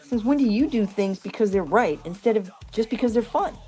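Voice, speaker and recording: A woman's voice: medium pitch, lively intonation, brisk, warm tone, soft background music, lightly acted. A woman playing a candid, wary teenage girl in a dramatic script reading. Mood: indignant.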